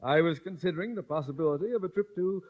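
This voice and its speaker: An actor playing a pompous, over-polite middle-aged school principal in a 1950s radio sitcom, full of blustery flattery. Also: male voice, on the high side, fast, heavy background noise, natural voice. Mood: politely competitive. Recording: dull vintage 1950s radio recording.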